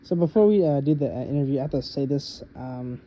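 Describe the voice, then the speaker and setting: A young man, a student, conducting an interview for a class, halting and full of uhs and quick laughs. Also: medium pitch, mellow. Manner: halting, filler-heavy, nervous laughs. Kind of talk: casual recorded interview. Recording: muffled with light background noise.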